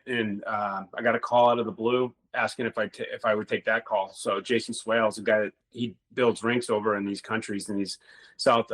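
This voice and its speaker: A man's voice: fairly low pitch, a bit bright. A middle-aged man, a former hockey player, telling rambling stories in a casual interview and swearing now and then.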